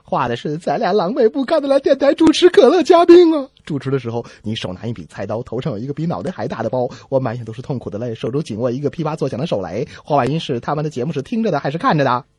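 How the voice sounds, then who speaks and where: A man co-hosting a comedy radio show, delivering scripted banter with animated mock exasperation. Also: a little high, fast, few pauses, husky, no echo. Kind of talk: scripted comic banter between radio hosts.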